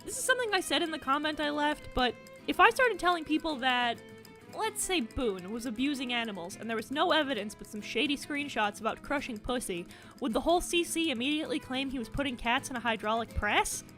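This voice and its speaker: Female commentary host, high voice, blunt and sarcastic, swears freely, music faintly behind. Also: expressive, fairly quick, sharp tone.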